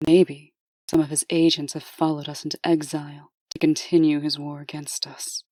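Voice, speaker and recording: Woman playing businesslike deputy, low voice, terse n skeptical, kinda fed up, comic dub. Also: level tone, moderate pace, dark tone, no echo, rough recording. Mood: measured, dutiful. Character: cool, businesslike, exasperated female deputy. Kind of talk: comic dub character acting.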